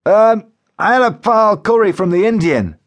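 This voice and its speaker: A man voicing a distressed, bluntly coarse male patient in a comic audiobook, groaning and pleading loudly. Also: high voice, expressive, normal speed, lo-fi sound, voice pushed up. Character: distressed, embarrassingly frank working-class patient on the phone.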